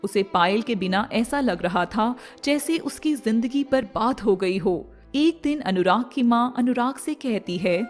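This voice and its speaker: High-voiced woman narrating a story. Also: animated, unhurried, hardly any pauses, faint music behind.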